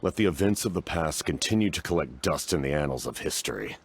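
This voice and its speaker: An actor playing a stoic, war-weary knight-commander in a fantasy game, speaking formally and gravely. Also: male voice, very deep voice, expressive, fast talker, no echo, exaggerated voice.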